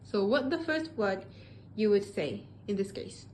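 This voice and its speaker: A woman's voice: mid-range voice, animated, measured pace, darkish tone, slight background noise. A woman interviewing someone, asking questions hesitantly with restarts. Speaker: student interviewer.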